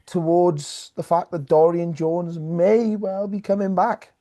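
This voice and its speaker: A man's voice: high-pitched, steady tone, takes it slowly, mellow. A man co-hosting a sports podcast, chatting casually about rugby.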